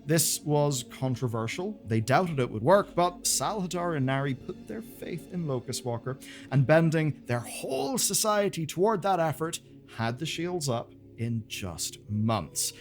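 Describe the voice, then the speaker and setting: Animated male storyteller over music. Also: fairly high pitch, measured pace. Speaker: presenter of a video game lore channel. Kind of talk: dramatic scripted storytelling narration.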